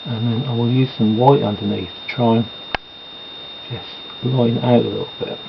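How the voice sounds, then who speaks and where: Rambling man, gravelly voice. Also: medium pitch, steady tone, normal speed. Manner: chatty, meandering, self-deprecating asides. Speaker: vlogger doing makeup. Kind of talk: rambling casual chat. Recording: dull lo-fi home recording with noise.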